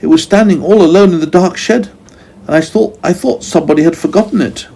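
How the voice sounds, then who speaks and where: A man voicing a foolish, meddling young man who panics easily and speaks in a shrill, excitable voice. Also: moderate pace, slightly rough, heavily acted. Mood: alarmed, bossy.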